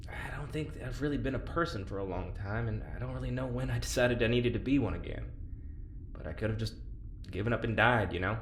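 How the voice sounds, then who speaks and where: A man playing a guilt-ridden, stubborn prisoner in an audio drama, halting, blunt and naturalistic. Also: fairly low pitch, close to an everyday voice. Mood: weary, reflective. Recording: roomy echo, clear background noise.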